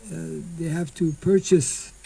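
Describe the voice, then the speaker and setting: An older monk giving an informal scripture class outdoors into a stand mic, speaking slowly and haltingly and clearing his throat often. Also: a little high, level tone, mellow. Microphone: stand mic in front.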